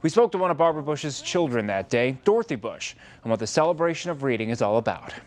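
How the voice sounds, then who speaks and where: A man's voice: medium pitch, lively intonation, quick pace. An American man reading scripted news as a TV anchor.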